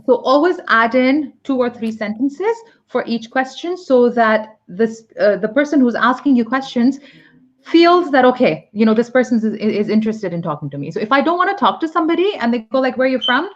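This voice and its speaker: A woman's voice: fairly high pitch, lively intonation, a little fast. Chatty woman teacher, muffled stream. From Pakistan. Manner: chatty, explains with examples, many ums. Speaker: English teacher hosting live class. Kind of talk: live online English lesson.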